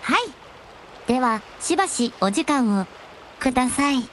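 A gentle young dragon princess with a high voice, played by a woman in a radio drama. She speaks in refined, devoted, very courteous phrases. Some noise sits behind the voice. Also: expressive, a little slow. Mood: relieved, gentle. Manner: refined, deferential, ladylike honorific speech. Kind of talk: Japanese radio drama acting.

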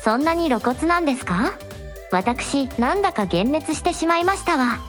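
女性が演じる高い声の丁寧な若い王女. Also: female voice, high-pitched, sing-song, normal speed, faint music behind. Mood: concerned. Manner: very polite, formal honorific speech. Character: polite, supportive young princess hosting a livestream. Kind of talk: radio drama dialogue.

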